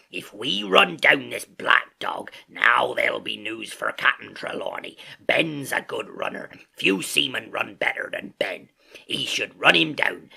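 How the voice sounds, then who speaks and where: A man voicing a one-legged pirate landlord in an audiobook, hearty, sly and blustering, with rough, breathy, salty sailor talk. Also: mid-range voice, sharp tone, full character voice. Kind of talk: audiobook character acting.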